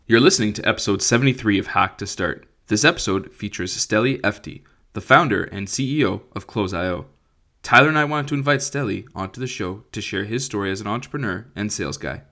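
A man hosts a podcast. He reads the intro and the sponsor spots in an announcer-like way, then interviews the guest in a friendly tone. His voice is low. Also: brisk, sharp tone. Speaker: podcast host.

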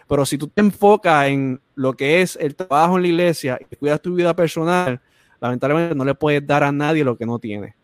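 A man's voice: fairly high pitch, a little fast, no room sound, clean studio sound. Preachy Spanish-speaking man host. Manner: preachy, repeats phrases for emphasis.

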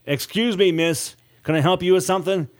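Man putting on a higher-pitched officious woman nurse. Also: a little fast, close to an everyday voice. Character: officious, unhelpful woman hospital receptionist nurse.

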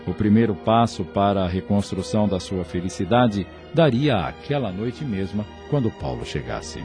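Expressive low-voiced male narrator over music. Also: normal speed. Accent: Brazilian. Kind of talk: scripted radio drama narration. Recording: music under the voice. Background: prominent music.

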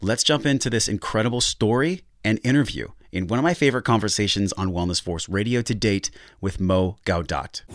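A young adult man hosts a wellness podcast in a deep voice with a dry, close sound. He is upbeat and effusive, praising his guest and asking long, winding questions. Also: fairly bright tone, no room sound. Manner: enthusiastic, effusive. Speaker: podcast host. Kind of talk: scripted intro then interview questions.

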